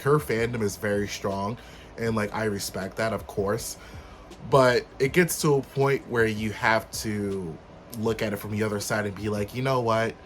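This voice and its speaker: A man hosting a casual rant vlog, slightly hoarse from being under the weather, chatty and animated with sudden high, upbeat outbursts. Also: a little hiss or hum. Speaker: YouTube host.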